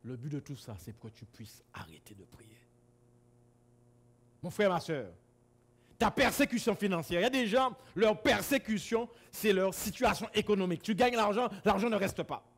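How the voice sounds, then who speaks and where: Guadeloupe-born preacher guy, highish voice, big swings up and down, shouts then drops quiet. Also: expressive, moderate pace. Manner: swings from loud exclamations to low asides, repeats words. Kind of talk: sermon. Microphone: camera-recorded.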